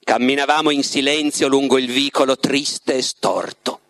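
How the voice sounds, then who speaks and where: A man's voice: mid-range voice, moderate pace, fairly bright tone, no room sound, natural voice. A man voicing a lovestruck, tormented writer confiding his story in a dramatic literary reading, turning to his listener with rhetorical questions. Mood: wistful, confiding. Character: lovestruck, tormented male writer in a psychiatric clinic.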